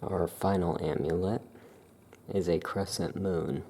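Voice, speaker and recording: Low, creaky, slow ASMR soft speaker. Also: a little hiss or hum. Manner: soft-spoken, calm, unhurried explaining. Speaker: witch shop keeper presenting amulets. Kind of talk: ASMR soft-spoken shopkeeper roleplay.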